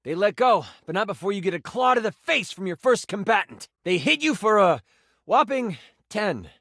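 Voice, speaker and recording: A man's voice: high-pitched, very animated, moderate pace, a bit bright, dry recording, clean studio sound, full character voice. An actor playing a game master, a man narrating a fantasy quest in a theatrical, suspenseful and emphatic way. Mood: dramatic, excited.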